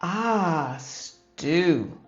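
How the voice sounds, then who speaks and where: A woman's voice: lowish voice, expressive, drawn-out words, dull audio, soft background music. Woman acting a friendly storybook policewoman. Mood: curious, longing. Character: hungry, friendly female police officer on duty.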